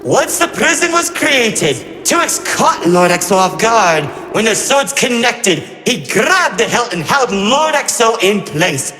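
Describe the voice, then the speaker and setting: High-pitched, theatrical, gleeful trickster villain. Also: male voice, very animated, unhurried, hardly any pauses, pushed and bright, heavily acted. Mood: dramatic storytelling. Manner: mocking, flippant, melodramatic, swinging between grandeur and glee. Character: gleefully cruel, theatrical immortal trickster villain recounting war. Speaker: narrator villain. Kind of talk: theatrical storytelling narration. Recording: echoey hall reverb over music. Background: music.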